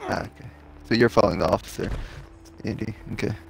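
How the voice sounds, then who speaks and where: A man's voice: on the low side, fast talker, creaky, slight background noise, poor audio. A man who streams games, chatting casually with teammates and sometimes searching for words. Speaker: game streamer. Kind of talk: casual chat with teammates while gaming.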